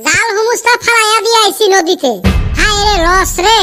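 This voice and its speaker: A squeaky cartoon villager, voiced by a man, grumbles and curses in a northern Bengal village dialect. His voice sits very high and sounds sharp and bright, with lively rural banter. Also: fairly quick, faint music behind. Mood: grumbling. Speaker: cartoon character.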